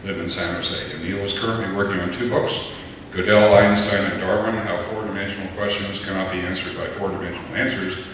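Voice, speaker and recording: A man's voice: deep voice, steady tone, brisk, a bit bright. A man hosting an event, introducing the next speaker with a chatty anecdote. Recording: distant echoey hall recording with background noise.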